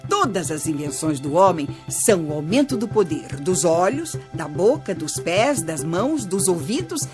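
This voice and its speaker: An actress playing a kindly older Brazilian grandmother who teaches through stories in a children's radio drama, measured and expressive. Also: lowish voice. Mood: patient, instructive. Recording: music bed under voice.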